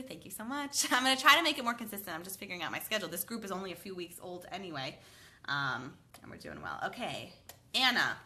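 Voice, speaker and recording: A woman's voice: lowish voice, sharp tone. A woman in her thirties giving sleep advice in a live question and answer session, chatty and upbeat, slightly congested from a cold. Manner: chatty, upbeat, addresses viewers by name. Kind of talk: live Q&A, reading comments aloud.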